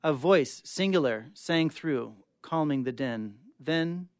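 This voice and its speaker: A man with a clear, smooth voice giving a dramatic reading of short stories as a guest reader. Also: on the high side, animated, slow pace, no echo, clean studio sound. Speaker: guest reader of short fiction on a radio show.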